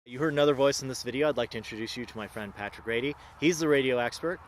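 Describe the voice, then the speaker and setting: A man's voice: mid-range voice, racing, a little hiss or hum, low-quality recording. A man hosting a casual on-location vlog outdoors, commenting on a hands-on experiment. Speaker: host running a drone antenna experiment.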